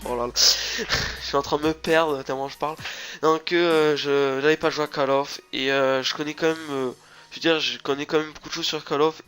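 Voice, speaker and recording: A man's voice: fairly high pitch, a bit bright. Nasal young man with a head cold. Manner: rambling, many fillers and restarts. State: stuffy nose from a cold. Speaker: gaming channel host. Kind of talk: rambling casual gaming commentary.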